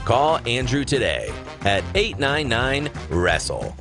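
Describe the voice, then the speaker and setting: A man's voice: medium pitch, wildly swooping pitch, takes it slowly, vocal fry, exaggerated voice. A man playing an upbeat huckster announcer in a parody radio commercial, punchy and wry. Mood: salesy, upbeat. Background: music bed.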